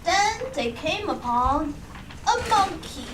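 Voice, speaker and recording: High-voiced woman storyteller in an echoey room. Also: sing-song, takes it slowly. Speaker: puppet show storyteller. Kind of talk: scripted live story narration. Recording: echoey room, distant mic, noisy.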